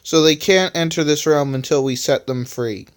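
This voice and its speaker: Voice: medium pitch, moderate pace, natural voice. A reader voicing an earnest young male hero who explains things and pleads with his father. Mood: explaining. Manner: urgent, emotional.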